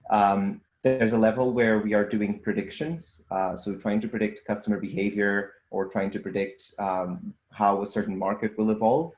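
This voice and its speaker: Low-voiced man with a Portuguese accent. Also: a little mellow, reverberant. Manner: thoughtful, with frequent ums and restarts. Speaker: guest, data scientist and entrepreneur. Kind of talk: casual interview answers.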